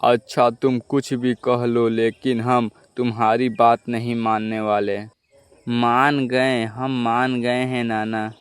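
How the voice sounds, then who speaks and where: A man plays a young cartoon crow, the hungry and bossy eldest brother. The voice is smooth and slow, with a blunt, commanding way of speaking. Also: medium pitch, level tone, no echo, natural voice. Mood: giving in. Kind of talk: Hindi children's cartoon dialogue.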